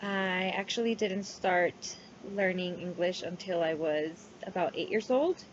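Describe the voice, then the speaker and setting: A woman's voice: on the low side, unhurried, slight background noise. Young woman, Spanish first language, college student; chatty class self-intro on a muffled webcam mic. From New Mexico, United States. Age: young adult. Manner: chatty, many fillers and "like"s. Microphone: laptop webcam mic.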